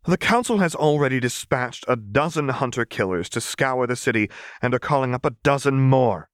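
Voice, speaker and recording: A man's voice: medium pitch, lively intonation, moderate pace, dry recording, voice pushed up, lightly acted. A man voicing a proud, irritable noblewoman operative in an audiobook, speaking in a dry, clipped, scornful way.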